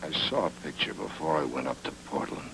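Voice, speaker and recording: An actor playing a hard-boiled private detective with terse, deadpan wisecracks, in 1940s film noir style. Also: male voice, extremely low pitch, brisk, gravelly, slight background noise, heavily acted, low-quality recording. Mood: weary defiance. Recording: old film soundtrack with loud music underneath.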